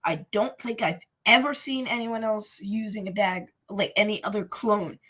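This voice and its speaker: Hoarse woman co-hosting a live stream. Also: mid-range voice, fairly bright tone. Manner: quick to add points and correct her co-host. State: hoarse, gravelly voice. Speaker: podcast co-host. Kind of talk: casual live-stream chat.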